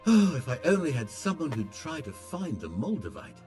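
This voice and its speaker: A man's voice: on the high side, very animated, normal speed, darkish tone, faint music behind. A man playing a proud, defensive medieval craftsman in a video game, explaining himself in a hedging way. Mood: apologetic pride. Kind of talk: video game cutscene dialogue.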